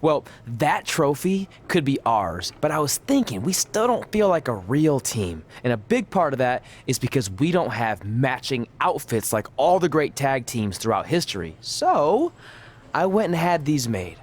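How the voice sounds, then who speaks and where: A man's voice: medium pitch, very animated, unhurried. An actor playing an eager, slightly awkward young male wrestler, earnest and hesitant, in a video game cutscene. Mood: eager, hopeful.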